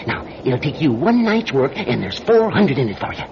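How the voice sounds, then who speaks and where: A man's voice: on the high side, very animated, moderate pace, creaky. An American actor, a man, playing a scrawny, chatty sixty-year-old pickpocket with a folksy, wheedling manner in a radio drama. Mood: persuasive. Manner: folksy, wheedling, hesitant chuckling talk. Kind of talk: 1940s-style radio drama acting. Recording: muffled, noisy radio drama recording.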